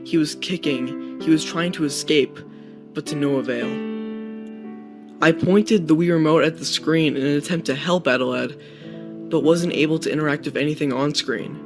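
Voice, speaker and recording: A young man tells a scripted spooky story in the first person. His voice sits a little high for a man. Guitar music runs clearly underneath the narration. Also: normal speed.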